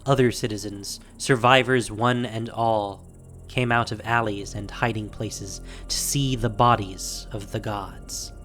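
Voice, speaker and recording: A man's voice: fairly low pitch, fairly even intonation, a bit bright. Man narrating dramatically over faint music. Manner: measured, dramatic storytelling. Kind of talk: dramatic scripted fantasy narration.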